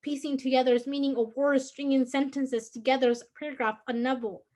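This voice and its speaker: A teenage student from Guatemala, a native Spanish speaker with a Guatemalan Spanish accent, reading her personal prose aloud in an earnest, measured way. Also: sits high, flat delivery, darkish tone. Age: late teens. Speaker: student reader.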